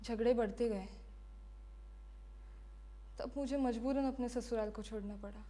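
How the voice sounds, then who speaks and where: A woman playing a defiant, bitter condemned prisoner giving her last testimony in a deliberate, impassioned dramatic monologue. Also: fairly high pitch, steady tone, mellow. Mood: composed, grave. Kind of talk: dramatic film-style monologue.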